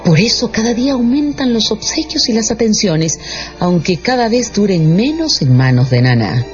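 A male narrator reads a scripted radio drama with very animated intonation. The sound is a little dull, and faint music runs underneath. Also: high-pitched, moderate pace.

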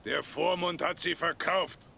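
Very gravelly, blunt Klumpen. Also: male voice, on the high side, steady tone, measured pace, sharp tone, slight background noise, exaggerated voice. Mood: matter-of-fact. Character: knowing, blunt companion called Klumpen, gravelly. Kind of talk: German radio drama acting.

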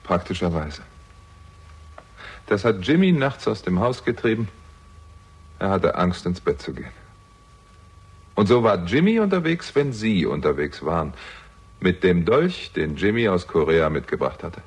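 An actor playing a shrewd young amateur sleuth in a German radio crime drama, husky-voiced, with a pointed, probing and wry manner. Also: male voice, low-pitched, big pitch swings, measured pace, long pauses, full character voice. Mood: probing, confident. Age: young adult.

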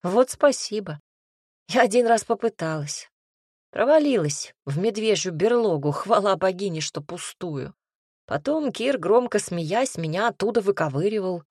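A woman's voice: medium pitch, expressive, measured pace, darkish tone, husky, dry recording, studio quality. A woman narrating a first-person fantasy audiobook with a wry, ironic storytelling manner.

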